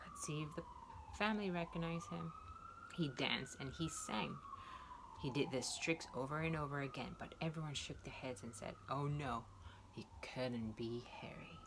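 A young woman reading a picture book aloud to small children in a playful, expressive storytelling style with little asides. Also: on the low side, a little hiss or hum. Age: young adult. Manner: playful, expressive, exclaiming and questioning. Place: indoors, wood-ceilinged room.

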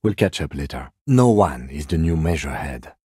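An actor playing a weary, dry, by-the-book police detective in a video game, speaking in a measured, restrained and formal deadpan. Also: male voice, extremely low pitch, breathy edge, no room sound, full character voice. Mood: dry, composed.

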